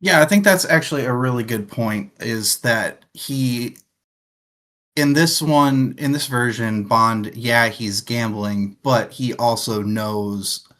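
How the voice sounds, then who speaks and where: A man's voice: medium pitch, takes it slowly, a bit bright. A man hosting a casual livestream book discussion, searching for words as he goes. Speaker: show host, reads viewer comments.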